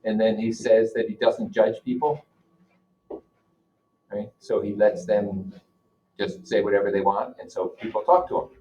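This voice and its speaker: A man's voice: on the low side, little pitch movement, moderate pace, long pauses, dark tone, slight background noise. A man teaching a class, reading a novel aloud and breaking off to explain it informally and put questions to his listeners. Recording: dull, lo-fi audio.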